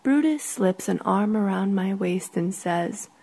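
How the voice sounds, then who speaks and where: A woman reading her own literary short story in a breathy voice that often sinks toward a whisper. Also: mid-range voice, expressive, measured pace, dark tone. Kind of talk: literary first-person story narration.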